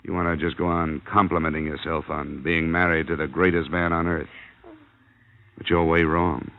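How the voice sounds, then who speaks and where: An American actor playing a tough, cynical insurance investigator in a radio crime drama, with a clipped, blunt delivery. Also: male voice, a bass voice, level tone, moderate pace, creaky. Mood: hard, accusing. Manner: clipped, hard-boiled, blunt. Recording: dull vintage radio recording.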